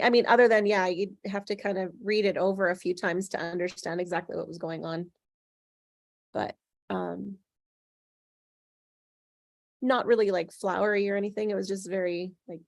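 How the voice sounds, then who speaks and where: A woman leading a casual group discussion in a chatty, agreeable way, with frequent soft interjections. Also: mid-range voice, steady tone, normal speed, vocal fry, no room sound, clean studio sound. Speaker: host leading a script table-read discussion.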